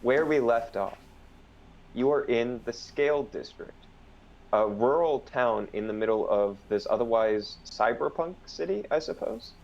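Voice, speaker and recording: A young man narrating as game master in a casual voice chat, relaxed and hesitant, with many fillers. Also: mid-range voice, a little slow, vocal fry, highs cut off.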